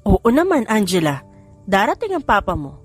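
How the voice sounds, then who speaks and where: A wealthy grandmother, acted by a female narrator, bossy and teasing, in an audiobook romance. Her pitch is medium and music plays underneath. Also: huge pitch swings, normal speed, breathy edge. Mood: reassuring, teasing.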